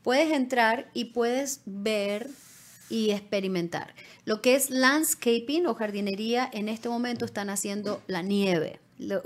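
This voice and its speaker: A middle-aged woman speaking conversationally as an immigration consultant, explaining things directly to viewers by name. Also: mid-range voice. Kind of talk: conversational advice on a live show. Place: small indoor studio. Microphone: studio microphone on desk stand, close.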